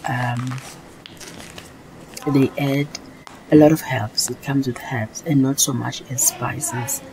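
Woman cooking vlogger, very deep voice, casual and halting, food sizzling clearly behind her. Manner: hesitant, halting delivery with frequent pauses. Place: home kitchen, indoors. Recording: clear frying sizzle and stirring noise. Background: food sizzling and being stirred.

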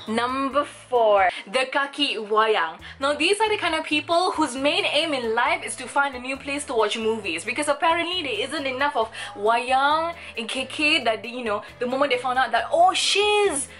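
A young woman from Sabah with a Malaysian English accent, doing a comedic vlog in a small bedroom and talking to a close camera. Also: fairly high pitch. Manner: expressive, animated, switching into Malay. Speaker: vlogger.

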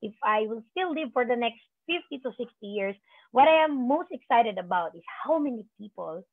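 Woman in her thirties, motivational coach, husky voice, dry tinny call line. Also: on the high side, expressive, normal speed, no echo. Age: 30s. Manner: rousing, repetitive, preacher-like. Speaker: business coach. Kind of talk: motivational speech on a video call. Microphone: video call.

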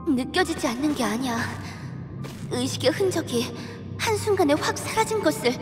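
A small, gentle young sorceress in a fantasy game. Her voice is high and earnest, carried in a long echo with music clearly underneath. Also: sing-song, moderate pace. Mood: troubled. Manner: earnest, polite. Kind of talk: video game cutscene acting. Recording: echoey hall reverb, music underneath.

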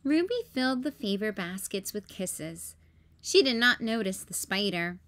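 A woman hosting story time, reading a children's picture book in a careful, expressive way for young listeners. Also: mid-range voice, unhurried. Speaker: storytime host.

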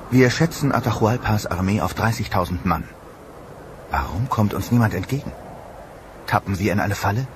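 A man's voice: fairly low pitch, noisy background, lightly acted. A man voicing a frightened Spanish conquistador soldier in a documentary, speaking tensely in the first person. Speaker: voice of a Spanish conquistador recalling events. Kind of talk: documentary voice-over reenactment.

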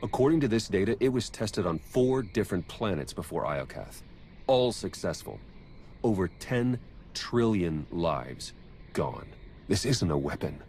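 An actor playing an earnest, wary agent in a video game, plain-spoken and urgent. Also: male voice, low-pitched, very animated, unhurried, darkish tone, slight background noise, heavily acted. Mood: grave, alarmed. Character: earnest, wary male rebel agent and tech expert. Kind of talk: video game cutscene acting.

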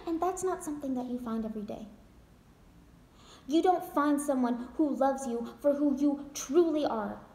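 A woman's voice: high-pitched, moderate pace, warm tone. A woman acting a desperate, wounded wife who fights her divorce with defiant jokes, in an emphatic theatrical monologue addressed to her husband. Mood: insistent, boastful. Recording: echoey untreated room.

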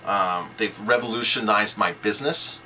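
Bright-voiced man with a lowish pitch. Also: normal speed, slight background noise. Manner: emphatic, repeats points in short sentences. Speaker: restaurant owner teaching business tips. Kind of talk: informal instructional talk to camera.

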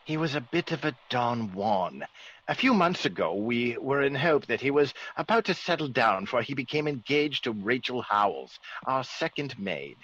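Excitable English country squire, animated intonation. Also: male voice, medium pitch, moderate pace. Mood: confiding. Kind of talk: classic radio drama acting. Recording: dull, faintly noisy old radio recording.

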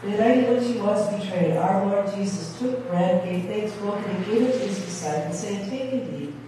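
A pastor, a woman, reading the communion liturgy in a measured, formal way. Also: medium pitch, background noise. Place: church sanctuary with brick wall, indoors. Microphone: far camera at the back of the chancel. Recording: echoey church from far off.

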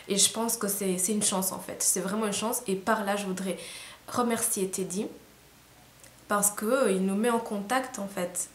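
A young woman from Rwanda, talking to camera at night, tired and hesitant, with many fillers and restarts. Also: medium pitch. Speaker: vlogger. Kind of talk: casual reflective monologue to camera. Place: small room indoors. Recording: roomy echo, faint hiss.

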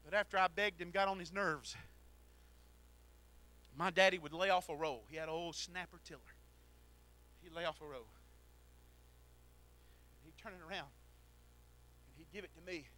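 A middle-aged man preaching a sermon into a handheld mic in a church, swinging from shouted exhortation to quiet storytelling. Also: on the high side, fairly even intonation, moderate pace, long pauses. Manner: preaches while pacing the platform. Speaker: pastor. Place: church sanctuary.

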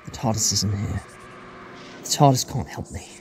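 A man's voice: lowish voice, moderate pace, noisy background, lightly acted. A man playing an anxious, searching traveller who talks urgently to himself in a fan audio drama. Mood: uneasy, puzzled.

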